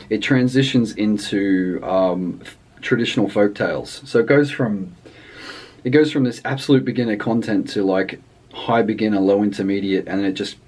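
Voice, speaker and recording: Low-voiced man, hesitant and rambling. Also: a little mellow, slightly rough. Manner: hesitant, rambling delivery with trailing thoughts. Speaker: language book reviewer. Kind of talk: casual unscripted review chat.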